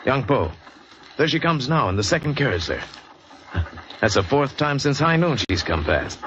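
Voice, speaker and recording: An actor playing a restless American sea captain in a 1940s radio adventure drama. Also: male voice, mid-range voice, big pitch swings, moderate pace, natural voice. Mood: eager fascination. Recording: noisy, muffled 1940s radio recording.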